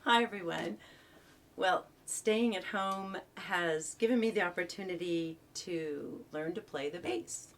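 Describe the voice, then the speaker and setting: A woman's voice: fairly low pitch, unhurried. A woman casually introducing a song as an amateur musician at a church service. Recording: distant from the microphone.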